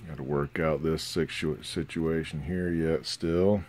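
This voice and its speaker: A man giving a casual, halting, low-key progress report on a repair, recorded on a phone at a workbench. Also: fairly even intonation, a little mellow, slight background noise. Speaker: hobbyist radio restorer. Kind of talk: casual narrated progress video. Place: indoors at an electronics workbench. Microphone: handheld phone.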